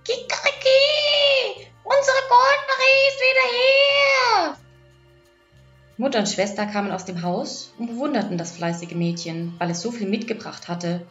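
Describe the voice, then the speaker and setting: An echoey, slightly dull recording of a woman telling a children's fairy tale. She reads it as an audiobook storyteller, at a middle pitch, with music behind her.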